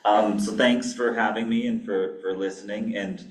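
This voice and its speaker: A man from Canada giving an academic lecture as a guest pharmacy professor, with a flat monotone delivery, dry asides and many ums. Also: on the low side, moderate pace, slight background noise. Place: lecture hall. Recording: echoey hall, mic far off.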